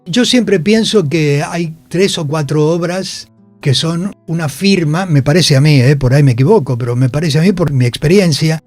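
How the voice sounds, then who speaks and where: A man's voice: on the high side, moderate pace, darkish tone, soft background music. A man, a theatre actor and director, talks in a radio interview, telling anecdotes emphatically and repeating phrases. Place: radio studio.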